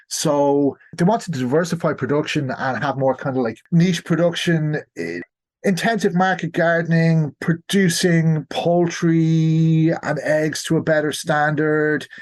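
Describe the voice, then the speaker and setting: A man's voice: fairly high pitch, darkish tone. A man with an Irish accent, speaking as a guest historian in an explanatory interview, often posing questions and answering them himself. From Ireland.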